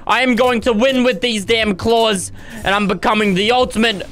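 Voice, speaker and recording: A young man yelling through his own game session in a high, bright voice that cracks into squeals and groans. His voice is getting hoarse from all the shouting, and faint music plays under him. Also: fairly even intonation, normal speed. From Australia. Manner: loud, dramatic, squealing yelps and groans. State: starting to lose his voice. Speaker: gaming YouTuber. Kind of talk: excited gameplay commentary.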